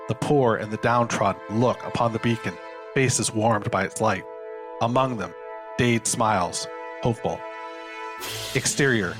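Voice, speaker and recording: Guy narrating a script, lowish voice, scene headings n action, music behind.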